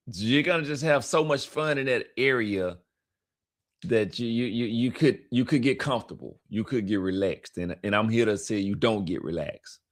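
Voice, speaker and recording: A man with a loud, heavy voice that carries, telling a travel story on a live stream with emphatic, repeating urges. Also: lowish voice. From United States. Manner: emphatic, repetitive, preacher-like urging. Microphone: streaming mic.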